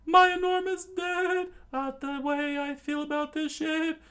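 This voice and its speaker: A man voicing a furious young male cook in a screeching falsetto, reading a manga aloud. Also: little pitch movement, measured pace, sharp tone, heavily acted.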